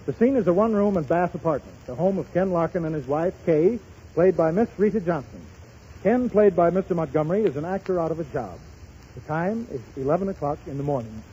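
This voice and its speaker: High-pitched man announcing on noisy old radio. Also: darkish tone. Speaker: announcer, program host. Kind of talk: scripted 1930s radio announcing. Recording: muffled, noisy 1930s radio with audience.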